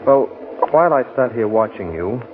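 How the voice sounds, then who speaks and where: An actor playing an earnest, awkward, smitten novelist in a 1940s radio drama, speaking with polite hesitation. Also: male voice, medium pitch, lively intonation, moderate pace, noisy background, natural voice. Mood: flirtatious, bashful. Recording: tinny, band-limited 1940s radio broadcast.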